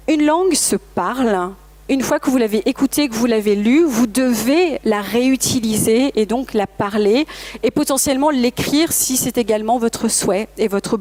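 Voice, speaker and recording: A woman whose first language is English gives a motivational talk at a trade fair, with a voice a little higher than most. She speaks earnestly to the audience and often addresses them directly. Now and then she hesitates and corrects herself in mid-sentence. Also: darkish tone. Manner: occasional self-corrections and hesitations. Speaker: conference speaker, language school founder. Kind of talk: presentation to an audience.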